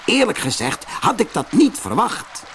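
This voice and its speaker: A man's voice: high voice, wildly swooping pitch, unhurried, a bit bright, slight background noise, pitched above the performer's own voice, heavily acted. A man voicing a big, bossy, threatening cat in a storybook, with an imperious manner. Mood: approving.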